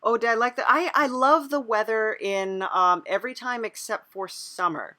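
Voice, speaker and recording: A woman's voice: on the high side, moderate pace, bright, forceful tone, no room sound. A woman hosting a casual interview show, encouraging and agreeable as she chats with her guest.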